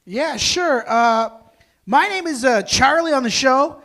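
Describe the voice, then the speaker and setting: A man's voice: very high-pitched, animated, slow, bright, forceful tone. A man chatting casually and jokingly as a member of a table read cast. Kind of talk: casual comic chat.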